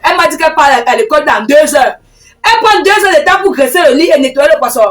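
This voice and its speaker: A woman playing a defiant, sharp-tongued wife and strict stepmother in a heated domestic drama, combative and taunting. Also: high voice, animated, fairly quick. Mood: argumentative. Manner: combative, taunting, talks back. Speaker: actor. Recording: roomy echo, distant mic, music.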